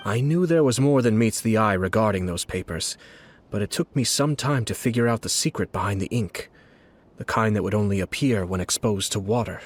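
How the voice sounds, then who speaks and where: A man playing a composed, courteous and calculating young clan leader in a video game, speaking formally and deliberately. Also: on the low side, close to an everyday voice. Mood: solemn, measured. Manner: measured, formal, polite and deliberate. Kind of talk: scripted video game dialogue.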